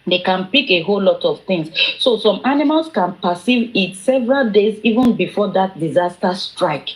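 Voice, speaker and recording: A woman's voice: mid-range voice, animated, normal speed, poor audio. A woman who makes online videos, chatting and explaining things in a casual way. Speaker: YouTube creator.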